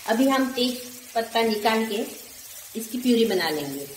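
A woman in her forties narrating a home cooking recipe step by step, calmly listing ingredients one at a time. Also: on the high side, moderate pace. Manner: calm, lists ingredients one by one. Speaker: cooking channel host. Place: home kitchen, echoey. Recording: echoey room with faint kitchen noise.

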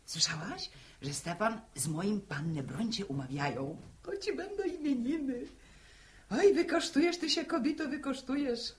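High, swooping village gossip played by an actress. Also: female voice, animated, normal speed, a little hiss or hum, lightly acted. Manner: effusive, singsong, gossipy. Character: nosy, gushing village gossip, a neighbour woman. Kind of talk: 1960s Polish radio drama acting.

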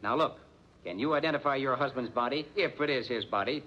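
An actor playing a tough, badgering police lieutenant, insistent and forceful, in 1940s radio acting on an old muffled recording. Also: male voice, medium pitch, brisk, slight background noise, lightly acted. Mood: brisk, commanding. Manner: insistent, forceful interrogating, tough-guy slang. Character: hard-nosed, badgering male police lieutenant.

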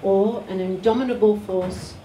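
A woman's voice: medium pitch, fairly even intonation, moderate pace, warm tone, recorded from a distance, slight background noise. A woman giving a short speech at a café courtyard event. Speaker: event speaker. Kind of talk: speech to a crowd. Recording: echoey, mic'd from afar.

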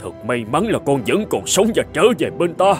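A man's voice: fairly high pitch, sing-song, moderate pace, faint music behind. A man voicing an emotional, stern old king and father in a fairy-tale cartoon. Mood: relieved.

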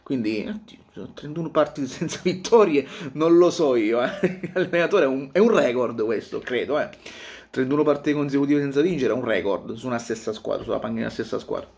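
A man in his thirties with a mid-pitched voice speaks casually to his viewers like a devoted sports fan. His delivery is theatrical and animated, and he repeats phrases for emphasis. He records at home in a small room. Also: a little fast, creaky. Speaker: football fan vlogger. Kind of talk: casual fan vlog to camera.

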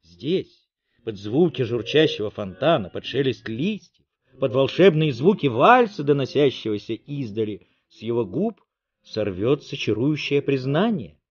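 A man's voice: mid-range voice, very animated, measured pace, husky. Dull-sounding recording, theatrical male storyteller. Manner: theatrical, declamatory. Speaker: narrator. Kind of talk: dramatic scripted storytelling narration.

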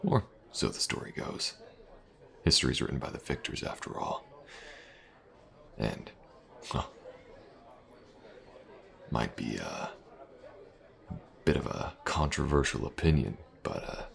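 A tough sellsword, acted by a man, speaks in an exceptionally deep voice full of gravel and fry. He is laid-back and musing, murmuring his lines with unhurried pauses. The delivery suits an intimate fantasy audio roleplay. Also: sing-song, fairly bright tone, heavily acted. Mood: musing, cautious. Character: tough, easygoing male mercenary warrior for hire. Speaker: voice actor.

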